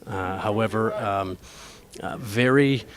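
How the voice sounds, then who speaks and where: A man, an actor being interviewed, explaining things in a hesitant, halting way. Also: lowish voice.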